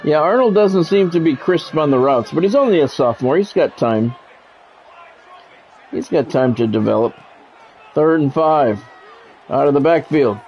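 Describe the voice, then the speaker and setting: A man calling live play-by-play of a football video game in measured, short clipped announcer phrases. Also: a little high, lively intonation, darkish tone, a little hiss or hum. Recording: dull lo-fi audio with music behind.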